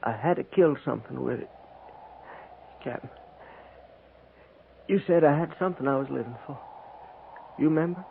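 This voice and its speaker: An actor playing a dying cavalry trooper and deserter seeking redemption, with folksy frontier speech, in 1950s radio western style. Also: male voice, a little high, moderate pace, vocal fry, long pauses. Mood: weary, confessional. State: weak, dying. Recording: noisy, narrow-band 1950s radio recording.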